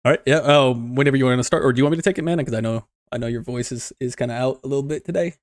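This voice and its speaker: A man's voice: mid-range voice, very fast, no echo, studio quality. A young man from the United States co-hosting a gaming podcast in a laid-back, chatty way, laughing often. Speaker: podcast co-host. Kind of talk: casual gaming podcast chat.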